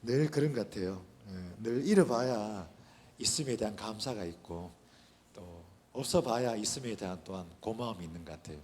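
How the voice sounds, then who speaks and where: A man with a hoarse voice leading praise at a church service, soft-spoken and gently encouraging the congregation. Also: on the low side, a little fast, long reverb tail. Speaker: worship leader. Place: church sanctuary. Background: worship band music.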